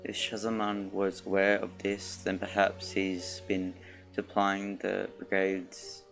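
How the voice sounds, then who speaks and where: A man streaming a video game, reading along and commenting in a low-key, muttering way. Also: monotone, takes it slowly, vocal fry, a bit bright. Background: game music.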